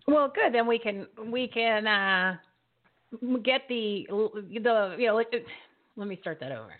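A woman's voice: medium pitch, animated, measured pace. A woman host with a New Jersey accent, chatting on a live online show, often restarting sentences and laughing. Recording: phone-like narrow audio.

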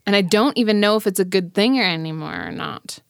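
A woman's voice: medium pitch, animated, brisk, vocal fry, clear, resonant tone, no room sound, studio quality. An American woman hosting a casual chat in a playful, frank way. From United States. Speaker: host, sexuality educator.

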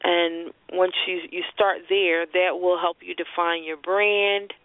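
A woman's voice: fairly low pitch, little pitch movement, slow, no room sound. A woman hosting a conversational call-in talk show, giving advice and announcements over a phone line. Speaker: radio show host and marketing strategist. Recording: muffled, band-limited phone-line internet radio.

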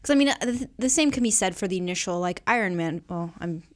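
A woman's voice: fairly low pitch, lively intonation, quick pace. Woman guesting on a casual film chat. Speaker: guest co-host.

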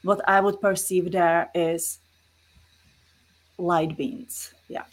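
Husky-voiced woman with a Czech accent. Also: on the low side, fairly even intonation, very slow, low-quality recording. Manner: earnest, explanatory, non-native phrasing. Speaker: guest. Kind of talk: spiritual interview conversation.

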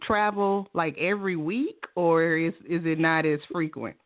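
Encouraging woman interviewer, lowish voice, tinny phone-line quality. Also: steady tone, unhurried, no echo. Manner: encouraging, short affirmations. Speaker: podcast host. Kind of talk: interviewer asking questions.

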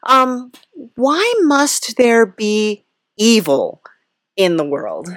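Slow-paced, wry woman podcast host. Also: fairly high pitch, very animated, no echo, studio quality. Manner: hesitant, rambling, wry. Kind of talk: comic in-character interview, casual chat.

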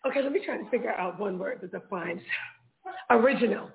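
A woman's voice: medium pitch, fairly quick, creaky. A playful, exclamatory woman chatting casually as a guest in a word-association game. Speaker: guest being interviewed. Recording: narrow phone-like band.

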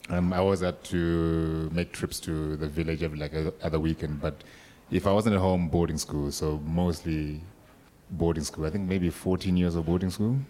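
A man's voice: deep voice, fairly even intonation, normal speed, dark tone. A man from Zimbabwe, chatting casually and wryly as a guest on stage. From Harare, Zimbabwe. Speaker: panel guest, rope performer. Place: live event with an audience.

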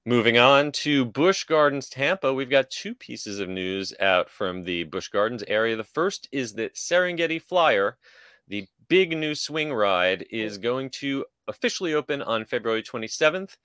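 A man co-hosting a podcast, going through theme park news informally, with a somewhat halting delivery. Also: mid-range voice, expressive, fairly bright tone, smooth, no echo. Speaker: podcast co-host.

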